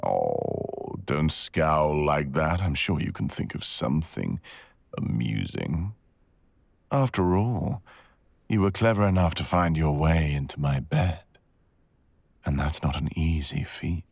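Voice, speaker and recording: A man acts out a cruel, seductive dragon villain for an ASMR audio roleplay. The voice is extremely low and husky, crackling with fry, and drifts into whispers. It delivers mocking, predatory threats in an arrogant drawl, close and intimate. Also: big pitch swings, slow, no room sound, full character voice. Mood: teasing, bargaining. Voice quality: gravelly, breathy, sometimes whispering. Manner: menacing, mocking, teasing drawl.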